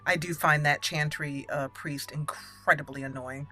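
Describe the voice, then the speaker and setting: A woman's voice: low-pitched, fairly even intonation, moderate pace, sharp tone, faint music behind. A woman hosting a gaming video, chatting casually and thinking aloud as she roleplays. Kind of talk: casual roleplay game commentary.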